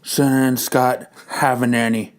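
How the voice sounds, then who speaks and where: A boastful, nagging wife in a comic-book parody, acted out by a man. The voice drops low and leans hard on drawn-out superlatives, like a bombastic politician on the stump. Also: flat delivery, lightly acted. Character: boastful nagging wife, spoken as a Donald Trump-style parody. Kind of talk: comic dramatic reading.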